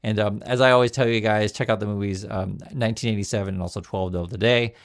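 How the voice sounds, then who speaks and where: A man's voice: on the low side, steady tone, quick pace. A man hosting a casual commentary show, chatting loosely, restarting words and reading quotes aloud. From United States. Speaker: news commentary host.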